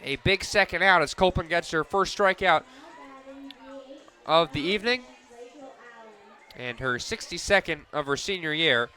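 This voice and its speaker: A man calling softball play-by-play for radio, narrating each pitch and play in crisp descriptive detail. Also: normal speed, pauses often. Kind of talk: live softball radio commentary.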